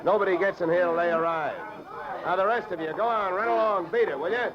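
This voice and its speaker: An actor playing a wry, unflappable insurance investigator in a clipped, matter-of-fact 1940s radio detective style. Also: male voice, high-pitched, steady tone, fast, a crowd in the background, natural voice. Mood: brisk, commanding. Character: hard-boiled male freelance insurance investigator, wry, brisk, unflappable. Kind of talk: 1940s radio detective acting and first-person narration. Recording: dull vintage radio recording with music.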